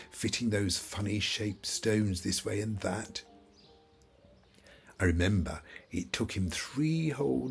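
An elderly widower in an audio play, with a lowish, creaky voice. He rambles fondly and wistfully through his memories, taking his time, with lively rises and falls. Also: exaggerated voice. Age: older. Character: ailing elderly widower, fondly reminiscing, forgetful. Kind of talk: audio drama acting, reminiscing.

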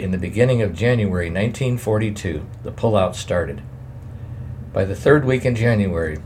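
Guy reading a memoir, lowish voice, plain steady reading, a bit of hiss behind. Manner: plain, measured reading with editor's asides. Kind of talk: reading aloud a family memoir.

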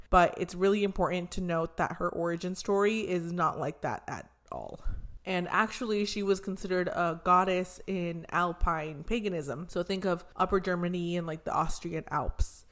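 Hoarse woman podcast co-host. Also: fairly low pitch. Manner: animated, laughs often, swears casually. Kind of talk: casual chat and storytelling.

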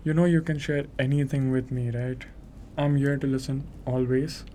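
A man's voice: mid-range voice, level tone, normal speed, dark tone, natural voice. A young man playing a devoted, eager-to-please clerk husband in an amateur radio drama, tender and reassuring. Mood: gentle, caring. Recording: noisy amateur recording.

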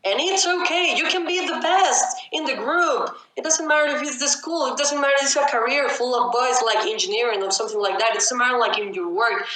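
Loud, fast-talking young woman. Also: high voice. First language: Spanish. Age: young adult. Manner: loud, fast and excitable, often shouting. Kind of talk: casual conversation. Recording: echoey room.

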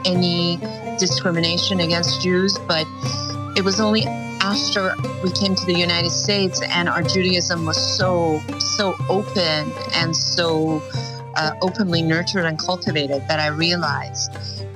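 A woman's voice: on the low side, steady tone, measured pace, low-quality recording. A woman from Iran, raised in Los Angeles since about age eleven, speaking thoughtfully about her upbringing. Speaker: interviewee. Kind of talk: personal storytelling. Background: music underneath.

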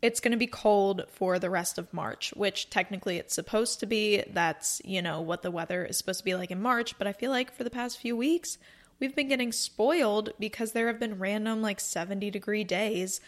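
A woman's voice: mid-range voice, normal speed. A woman in her twenties hosting a casual solo podcast, chatting in a rambling, upbeat way from a cozy room. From North Carolina, US. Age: 20s. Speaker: podcast host.

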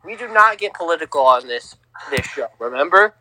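A young man co-hosting a casual sports podcast, teasing and lively, on a home mic. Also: a little high, a little fast, crowd noise, low-quality recording. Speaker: podcast co-host.

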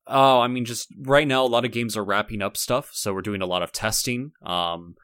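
A man's voice: fairly low pitch, moderate pace, fairly bright tone, smooth, no room sound, clean studio sound. A man co-hosting a podcast in casual chat, rambling and often cutting his own sentences off to start again. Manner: rambling, self-interrupting. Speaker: podcast co-host.

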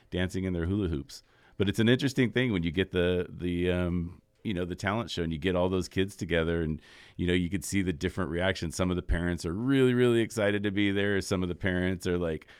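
A deep-voiced man co-hosting a radio talk show, his voice sitting very low. He tells stories in a loose, rambling way. The studio sound is close and dry. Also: fast talker, clean tone, no echo. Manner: relaxed, rambling storytelling. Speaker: co-host, stand-up comic. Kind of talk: casual radio show chat. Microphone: studio microphone.

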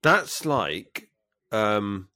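A man's voice: on the low side, sing-song, drawn-out words, pushed and bright, no echo, studio quality. A British man bantering casually as a podcast co-host, jokey and colloquial with playful exaggeration.

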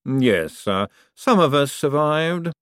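A man voicing a quiet, deferential male servant who is also an assassin, speaking in a calm, flat way. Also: a little high, slow pace, clear, resonant tone, no room sound, clean studio sound, voice pushed up.

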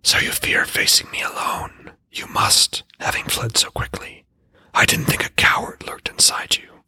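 A man voicing a taunting, cryptic spirit that speaks from a well in an audiobook, in an eerie hushed whisper. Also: unhurried, no room sound. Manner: mocking, cryptic, patient. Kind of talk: audiobook character voice.

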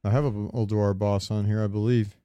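A man's voice: lowish voice, little pitch movement, fairly quick, warm tone, smooth, highs cut off. A man co-hosting a podcast in casual, informal chat, swearing freely. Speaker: podcast co-host.

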